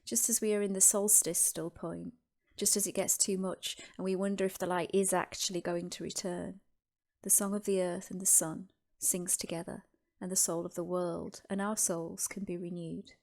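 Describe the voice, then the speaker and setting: A woman narrating a scripted guided meditation as host, with deliberate, reverent phrasing and pauses. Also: on the low side, darkish tone.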